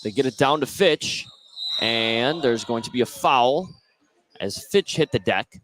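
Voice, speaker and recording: A man's voice: mid-range voice, lively intonation, unhurried, a bit bright, slight background noise, rough recording. A man doing live basketball radio play-by-play, narrating each play in short phrases. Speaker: play-by-play commentator.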